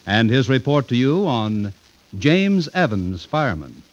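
An American male announcer on a muffled mid-century radio recording. His delivery is formal and polished, with a mid-pitched voice that rises and falls in lively sweeps. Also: a little slow. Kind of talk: 1950s radio announcing, scripted.

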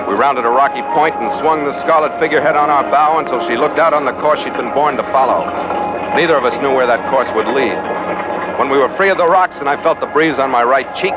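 A man's voice: mid-range voice, lightly acted. An American man playing a wry, confident ship's captain and narrator in a 1940s radio adventure. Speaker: lead actor and narrator. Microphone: studio radio microphone. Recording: muffled old radio recording, loud music.

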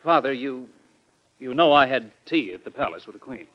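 An actor playing a president's earnest young adult son in an old-time radio drama, polite, deferential and a little hesitant. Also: male voice, mid-range voice, expressive, moderate pace, close to an everyday voice. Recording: dull old radio broadcast recording.

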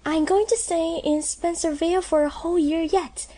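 A woman voicing an earnest, soft young heroine. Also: very high-pitched, steady tone, normal speed, no room sound. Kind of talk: audiobook character reading.

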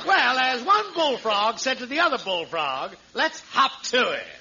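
An actor playing a brash, blustery, wisecracking crooked building contractor in a 1940s radio comedy. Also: male voice, extremely high pitch, very animated, unhurried, heavily acted. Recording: muffled vintage 1940s radio with hiss.

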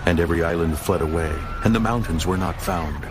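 A man's voice: low-pitched, brisk, music in the background. A man narrating a dramatized scripture audiobook in a solemn, formal manner.

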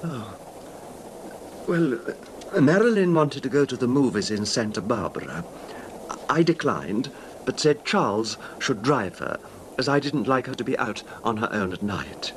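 A meek betrayed husband in a radio play, confessing with understated, genteel menace. His hesitant telling rises and falls expressively over clearly audible background noise. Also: big pitch swings, a little slow. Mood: quietly confiding. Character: meek, quietly vengeful cuckolded husband confessing a murder. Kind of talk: old-time radio drama acting. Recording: noisy vintage radio drama, rumbling background.

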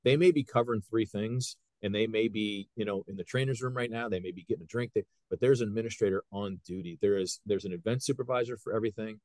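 A man in his fifties from Ohio, a high school wrestling coach who talks earnestly in a casual podcast chat and often acts out what people said. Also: quick pace, hardly any pauses, a little mellow, dry recording. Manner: earnest, retells scenes by quoting people.

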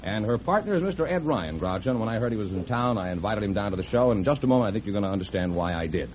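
A man's voice: lowish voice, lively intonation, rapid-fire, a little mellow. A man working as the announcer on a radio quiz show. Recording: dull, hissy vintage radio broadcast.

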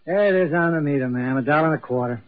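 Actor as a high-pitched, exasperated American cabbie. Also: male voice, fast talker, natural voice. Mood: matter-of-fact. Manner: protesting, colloquial. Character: plain-spoken, exasperated working-class cab driver. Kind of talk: 1940s radio drama acting. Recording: muffled 1940s radio recording.

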